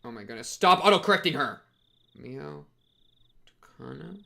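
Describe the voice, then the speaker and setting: A man's voice: fairly high pitch, expressive, moderate pace, creaky, sharp tone, a little hiss or hum. A young man giving casual, slangy playthrough commentary on a visual novel and reading text aloud. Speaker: streamer playing a visual novel.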